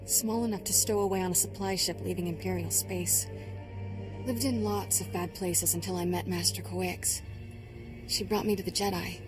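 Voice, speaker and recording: Young female Jedi apprentice woman acting: game drama, slightly low pitch, spirited, defiant, wry. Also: fairly even intonation, moderate pace, over music, natural voice. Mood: wry confession. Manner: blunt, wry.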